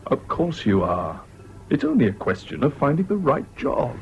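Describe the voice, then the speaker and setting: Steady, expressive English confidant. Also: male voice, medium pitch. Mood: reassuring. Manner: measured, matter-of-fact. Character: steady, practical, sympathetic friend and confidant. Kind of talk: British radio drama acting. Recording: dull, hissy old radio recording.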